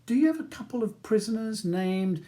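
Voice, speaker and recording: A male narrator plays a barrister in an audiobook. He is courteous and reserved, choosing educated words with some hesitation. His tone is mellow and fairly high for a man. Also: lively intonation, normal speed. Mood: polite. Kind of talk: audiobook character dialogue.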